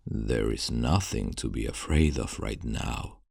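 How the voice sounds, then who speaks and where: Casting: male actor as gentle, brooding vampire nobleman for ASMR roleplay; extremely low, slow delivery, formal and soothing. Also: gravelly, no room sound, studio quality, exaggerated voice. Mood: soothing, concerned. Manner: formal, old-fashioned, soothing, deliberate. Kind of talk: ASMR roleplay, scripted monologue to the listener.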